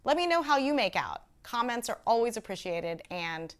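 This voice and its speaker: A woman in her thirties hosting an instructional video, explaining each step clearly to camera with conversational asides. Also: a little high, big pitch swings, normal speed. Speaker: video host and presenter. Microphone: lapel mic.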